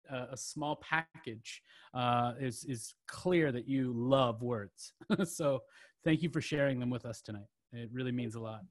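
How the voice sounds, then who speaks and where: Fumbling, chatty man hosting a video call. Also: medium pitch. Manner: rambling, fumbling with many fillers and restarts. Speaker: open mic host.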